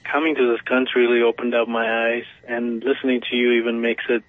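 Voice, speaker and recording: A man's voice: medium pitch, flat delivery, fast talker, very noisy. A man from Ethiopia calling into a radio show, speaking earnestly and hesitantly and often repeating words. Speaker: caller. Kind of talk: phone-in call. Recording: tinny phone line.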